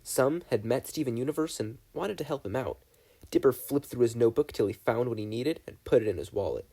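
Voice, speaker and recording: Mellow, expressive male story reader. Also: mid-range voice, a little fast, breathy edge. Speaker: podfic reader. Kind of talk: scripted story narration.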